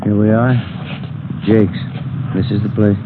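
Male American police partner acted by a man, lowish voice, casual and laconic, muffled vintage radio. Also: little pitch movement, unhurried, close to an everyday voice. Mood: casual, observant. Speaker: supporting actor in a police radio drama. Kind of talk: 1950s radio acting, understated dialogue. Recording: muffled, noisy old radio recording.

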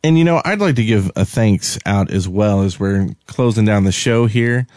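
A man's voice: lowish voice, moderate pace, darkish tone, no echo. A man co-hosting a gaming podcast in casual conversation. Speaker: podcast co-host.

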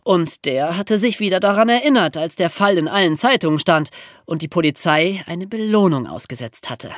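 Podcast history presenter, mid-pitched voice, lively intonation, dramatic storytelling emphasis, dry studio sound. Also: normal speed, dry recording.

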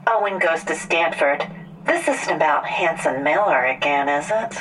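A woman's voice: sits low, expressive, moderate pace, fairly bright tone, distant mic, a little hiss or hum. An actress playing a wary, defensive middle-aged mother in an audio drama, heard on a recorded phone call. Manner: questioning, defensive. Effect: played-back phone call recording.

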